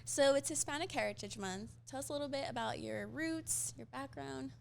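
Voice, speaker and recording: Gravelly-voiced upbeat woman host. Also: sits high, moderate pace. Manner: friendly, upbeat, asks questions. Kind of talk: casual interview hosting.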